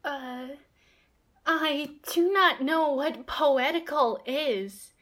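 A woman's voice: high-pitched, very slow, fairly bright tone, heavily acted. A woman playing a simple, earnest young country goatherd in a Shakespearean radio play, naive and a little hesitant. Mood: earnest, puzzled.

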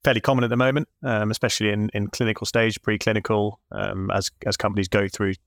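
Low-voiced male podcast host, friendly. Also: little pitch movement, fast talker, vocal fry, fairly bright tone, dry recording, studio quality. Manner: friendly, rambling questions with fillers. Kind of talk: podcast interview hosting.

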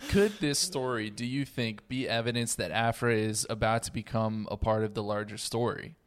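A man's voice: lowish voice, normal speed. An American man hosting a podcast casually, with an easygoing, folksy way of talking. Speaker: podcast host.

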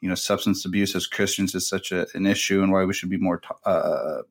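A man's voice: sits low, fairly even intonation, brisk, vocal fry. A man hosting a podcast, telling a long family story in a rambling, casual way with many fillers. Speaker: podcast host. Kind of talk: casual conversation.

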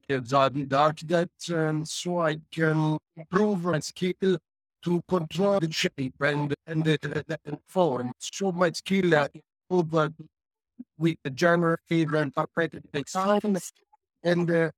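Italian-accented male architect, hesitant non-native delivery with frequent pauses, fairly high voice. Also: no room sound. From Italy. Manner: halting, many filler pauses. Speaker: architect and glamping designer. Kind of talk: panel discussion.

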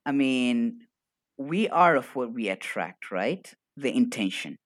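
Excitable arabic-native guy on a podcast, keeps laughing, dry close sound. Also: mid-range voice, takes it slowly, no room sound. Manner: energetic, laughs often. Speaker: podcast guest. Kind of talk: casual live-stream chat.

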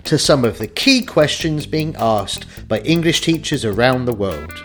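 A man hosting a podcast, reading a scripted introduction in a clear, measured way. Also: medium pitch, lively intonation, soft background music. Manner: clear, measured read-out delivery. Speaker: podcast host.

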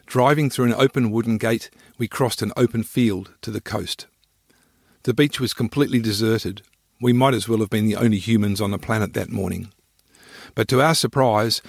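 A man narrating his own scripted travel memoir in a measured, descriptive storytelling manner. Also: lowish voice, gravelly, dry recording, clean studio sound.

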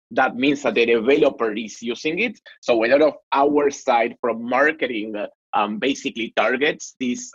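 A man from Argentina with an Argentine accent, talking casually as a guest in an interview, chatty and animated with frequent fillers. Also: medium pitch, breathy edge, lo-fi sound. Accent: Argentine Spanish. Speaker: guest, marketing executive.

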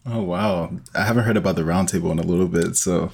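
A man's voice: deep voice, fast talker, gravelly, darkish tone, a little hiss or hum. A young man talking casually as a guest in an interview, with plenty of conversational filler. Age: young adult. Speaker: guest, podcast co-creator.

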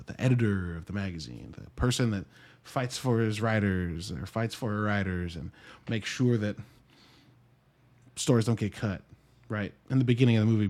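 A man's voice: fairly low pitch, darkish tone. A man giving a casual solo movie review in a conversational, wry way, breaking into his own thoughts with dry asides. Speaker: podcast host and movie reviewer.

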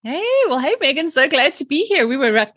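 A woman in her forties with a South African accent, chatting lively as an interview guest. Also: high-pitched, brisk. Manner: lively, chatty, laughs often. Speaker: guest, mindset trainer. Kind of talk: casual interview chat.

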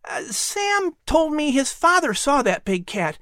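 A woman's voice: high-pitched, big pitch swings, unhurried, a bit bright, no echo, studio quality, pitched above the performer's own voice, exaggerated voice. A woman voicing an eager, persuasive, sweet-natured nine-year-old boy from colonial days in an audiobook. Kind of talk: audiobook character voice.

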